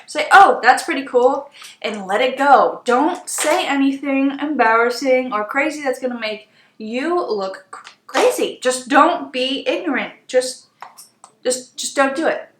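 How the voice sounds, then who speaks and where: A woman's voice: high-pitched, expressive, unhurried, a bit bright, a little hiss or hum. Chatty young woman in an echoey room. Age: 20s. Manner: chatty, exasperated, emphatic. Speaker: beauty vlogger. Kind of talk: casual story time while doing makeup.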